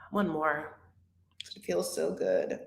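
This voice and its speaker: A woman chats to her viewers on a live stream from home, drifting between thoughts with plenty of fillers. Her voice sits a little low and she speaks slowly. The room around her is noticeably echoey. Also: gravelly, background noise. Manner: rambling, chatty, many fillers and restarts. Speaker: reality TV recap livestream host. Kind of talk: casual live-stream chat. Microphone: webcam or phone on a live stream.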